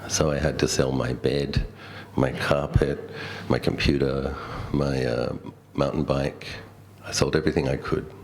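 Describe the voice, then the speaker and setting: Very deep-voiced Australian monk telling stories. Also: male voice, level tone, a little slow, few pauses, a little hiss or hum. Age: middle-aged. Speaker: Buddhist monk giving a talk. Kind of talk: dhamma talk with personal storytelling.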